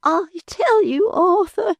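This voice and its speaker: A man's voice: very high-pitched, animated, slow pace, creaky, dry recording, clean studio sound, pitched above the performer's own voice, full character voice. A man reading an audiobook, voicing a frightened, superstitious servant woman with rustic, ungrammatical speech. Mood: anxious. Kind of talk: audiobook character voice.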